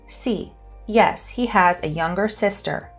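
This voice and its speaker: Woman host reading a beginner listening test, very slow and clear, soft music underneath. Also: mid-range voice. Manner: slow, careful reading of questions and answers. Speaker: English teacher and channel host. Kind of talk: scripted lesson narration.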